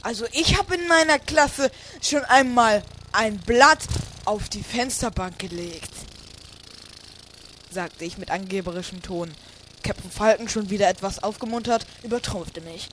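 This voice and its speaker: Male storyteller for comic audio drama, lighter high register, scripted first-person narration, clear background noise. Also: unhurried, bright, forceful tone. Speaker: narrator and lead character. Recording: clearly noisy homemade recording.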